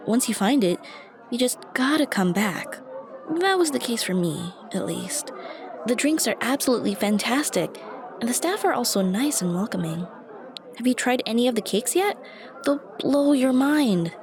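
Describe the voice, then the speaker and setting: A woman playing a shy, dorky young stranger in an ASMR roleplay, talking to the listener in a hesitant, self-conscious, rambling way. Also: on the high side. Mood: friendly, timid. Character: shy, dorky young woman, a friendly coffee shop regular. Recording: busy cafe chatter behind the voice. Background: coffee shop crowd ambience.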